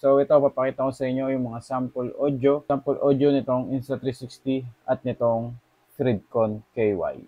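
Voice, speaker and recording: A man with a Filipino accent presents a casual, step-by-step tutorial as a vlogger. His voice sits at an ordinary pitch, and the recording is very dry with little room sound. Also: fairly even intonation, moderate pace, dry recording, narrow, tinny audio.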